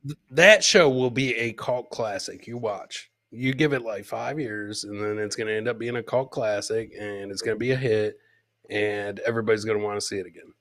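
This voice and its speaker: A man's voice: on the low side, normal speed, a bit bright. A man co-hosting a podcast, upbeat and encouraging in casual chat.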